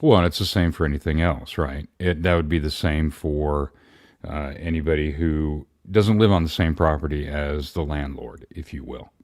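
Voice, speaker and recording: Man, low-pitched voice, co-host, casual commentary, blunt and colloquial. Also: normal speed, darkish tone.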